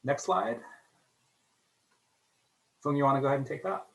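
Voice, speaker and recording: A man from a college's international office presents an online information session for new students. He speaks in a friendly way and fills his pauses with "um" and "uh" over a video call. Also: on the high side, animated, gravelly, rough recording. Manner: friendly, filler-heavy delivery with frequent "um" and "uh". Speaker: international student services staff member.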